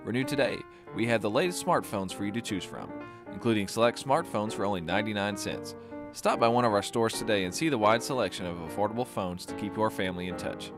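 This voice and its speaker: A man reads an ad quickly in a brisk, salesy tone with a fairly low voice. A guitar music bed plays clearly behind him. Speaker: ad announcer. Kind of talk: radio ad read.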